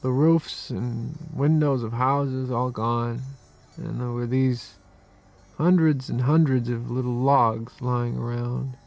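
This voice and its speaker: Slow, gravelly, haunted survivor. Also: male voice, mid-range voice, fairly even intonation, darkish tone, soft background music. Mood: numb recollection. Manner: slow, hesitant, understated. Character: haunted, subdued American war survivor recalling horrors. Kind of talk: radio drama acting.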